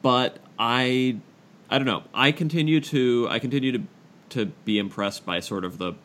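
A man hosting a podcast in casual discussion, halting and self-deprecating, repeating phrases as he works out his thought. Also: mid-range voice, a bit bright. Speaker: podcast host.

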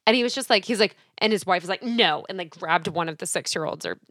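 A young woman co-hosting a podcast, telling animated stories in casual chat and acting out the people she quotes. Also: fairly low pitch, quick pace, no room sound, studio quality. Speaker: podcast co-host.

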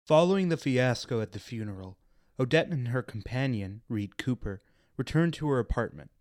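A man's voice: medium pitch, lively intonation, moderate pace, darkish tone, dry recording, studio quality. A man reading scripted narration as the narrator of a radio play.

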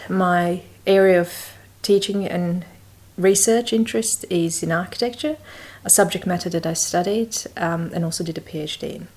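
A middle-aged woman from Bosnia, her first languages Serbian and Croatian, reading a prepared personal story in a measured way with occasional hesitations. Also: on the low side, darkish tone. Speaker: senior lecturer.

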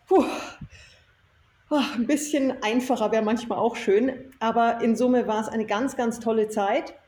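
A woman with a fairly high yet mellow voice talks as an interview guest. She is enthusiastic and emphatic in her conversational storytelling. The room is noticeably echoey. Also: steady tone, unhurried. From Germany. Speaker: interview guest, self-employed former marketing executive. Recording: echoey room, faint noise.

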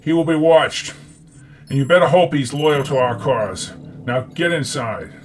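A man's voice: fairly high pitch, unhurried, faint music behind. A man voicing a commanding, suspicious rebel leader in an audiobook, with faint hum behind him. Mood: stern.

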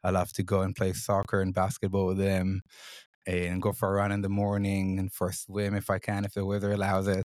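A man's voice: low-pitched, monotone, a little fast, a little mellow, dry recording, clean studio sound. A middle-aged man from Israel, a fund CEO answering interview questions in a matter-of-fact way with hesitant fillers. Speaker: venture fund CEO, guest.

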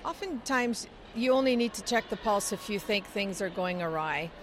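A woman's voice: medium pitch, expressive, normal speed, noisy background. A middle-aged woman from Scotland, giving explanatory interview answers with frequent fillers. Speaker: interviewee, chorus singer.

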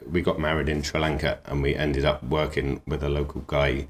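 A man's voice: very deep voice, level tone, fairly quick, a little hiss or hum. A man, talking in an easygoing, rambling and enthusiastic way as an interview guest about his craft and cooking. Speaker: knifemaker and cook. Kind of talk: relaxed interview talk.

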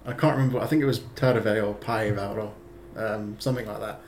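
A man's voice: lowish voice, steady tone, fast talker, darkish tone, a little hiss or hum. A man co-hosting a casual podcast chat, telling stories in a rambling, self-deprecating way with many fillers. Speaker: podcast co-host.

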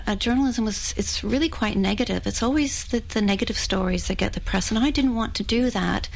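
A woman's voice: medium pitch, rough recording. A Canadian woman in her thirties with a mixed accent, talking about her writing in a radio studio interview, thoughtful and friendly. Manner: thoughtful, restarts and fillers, friendly. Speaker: writer being interviewed.

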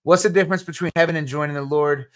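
A man's voice: a little high, steady tone, fast talker. Casual New Jersey man answering chat questions. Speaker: podcast host.

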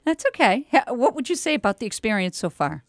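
Female local radio host, informal interviewer, gushing and encouraging, slightly high voice, very dry studio sound. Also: very animated, fast talker, creaky, no room sound. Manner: effusive, exclaiming, encouraging. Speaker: radio talk show host.

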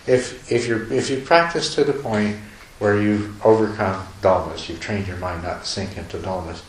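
A man with a lowish voice gives a dharma talk at a retreat, talking in loose, rambling sentences full of restarts and soft chuckles. His voice carries in an echoey room over a faint hiss. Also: normal speed. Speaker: meditation teacher. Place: retreat meditation hall.

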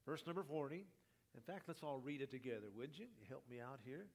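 A male pastor preaching a teaching sermon, his voice slightly raspy today, leading the congregation through scripture. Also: medium pitch, animated, a little fast, a little mellow. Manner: teaching, leads congregation in reading.

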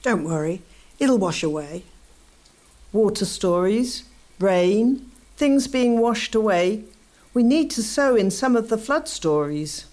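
A woman's voice: medium pitch, expressive, slow pace, a little mellow. A woman acting in a radio play as a practical, community-minded townswoman. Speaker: community theatre actor.

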